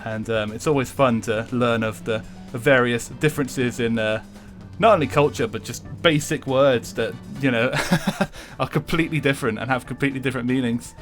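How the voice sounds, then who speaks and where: Laid-back English streamer, laughs a lot. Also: male voice, medium pitch, moderate pace, few pauses, fairly bright tone, soft background music. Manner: laughs often, swears freely, chats with viewers. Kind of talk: casual chat while live-streaming a racing game.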